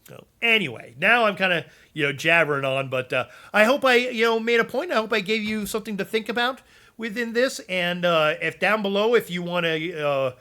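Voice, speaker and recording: A man's voice: sits high, a little fast, bright, forceful tone. A man chatting casually about game builds, rambling with many fillers and restarts, and losing his voice. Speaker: gaming content creator.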